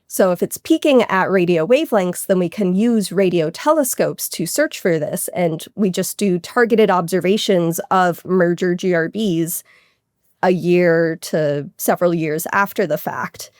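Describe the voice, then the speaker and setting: A woman's voice: fairly low pitch, a little mellow, dry recording, studio quality. A woman astronomer being interviewed, explaining technical science in a casual, conversational way peppered with "like".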